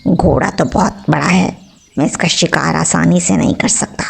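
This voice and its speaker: A woman voicing a sly, hungry male wolf in a children's story, scheming and full of smooth flattery. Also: low voice, moderate pace, voice pushed down, exaggerated voice.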